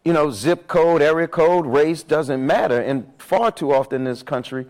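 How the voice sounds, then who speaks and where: A man with a loud voice speaking on stage as a panelist, making emphatic points and repeating words as he builds his argument. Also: a little high, normal speed. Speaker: panelist on justice reform. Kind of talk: impassioned panel talk. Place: on stage at a live event.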